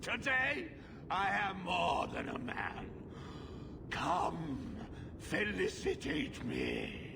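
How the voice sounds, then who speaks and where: A man's voice: sits high, wildly swooping pitch, very slow, creaky, background noise, heavily acted. A man playing a deranged, greedy and lecherous nobleman in a fantasy video game, theatrical and self-indulgent. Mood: lecherous delight.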